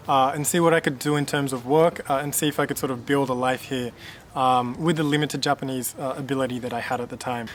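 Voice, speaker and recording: A man in his late twenties from Australia, talking casually to camera in a relaxed, rambling way with many fillers and restarts. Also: medium pitch, slight background noise. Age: late 20s. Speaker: vlogger.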